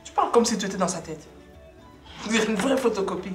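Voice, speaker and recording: An actress plays a blunt housewife in a film drama, impatient with clever talk. She scolds and challenges in a natural, mid-pitched voice. The room is reverberant, with clear music behind her. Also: lively intonation, quick pace, lightly acted. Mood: blunt, scolding. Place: dining room at a dinner table. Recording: roomy interior with music behind.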